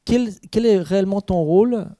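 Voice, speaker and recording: A man's voice: high voice, brisk, mellow, no room sound, studio quality. A man moderating a conference panel, asking friendly questions with light teasing.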